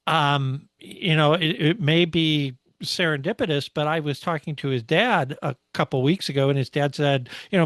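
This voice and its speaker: A man's voice: a little high, fairly bright tone, no echo, clean studio sound. A man co-hosting a motorsport podcast, recapping a race in casual, enthusiastic conversation.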